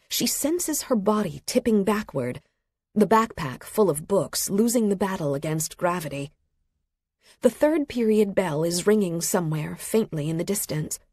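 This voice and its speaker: A woman reading literary fiction as an audiobook narrator, with a measured, expressive delivery and a slightly husky voice. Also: medium pitch, no echo, clean studio sound. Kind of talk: scripted literary fiction narration, present tense. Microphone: close studio microphone.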